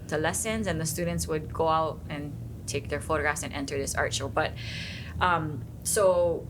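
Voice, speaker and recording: A woman raised in Hawaii, a former teacher, tells her story in an earnest, reflective way. Her voice is low-pitched. Clear background noise runs behind her. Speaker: former photography teacher, business owner. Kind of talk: storytelling interview answer.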